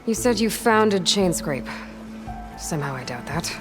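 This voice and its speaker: A woman's voice: fairly low pitch, lively intonation, unhurried, music in the background. A woman playing a blunt, determined young heroine in a video game, speaking in a direct, dry way. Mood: sceptical. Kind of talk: video game character acting.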